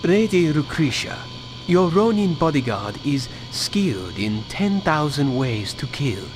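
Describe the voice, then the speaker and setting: Slow, solemn assassin bodyguard. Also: male voice, on the high side, very animated, music in the background. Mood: proud, menacing. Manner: solemn, grandiose. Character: devoted, boastful male assassin bodyguard, grave and deadly. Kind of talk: scripted video game cutscene acting.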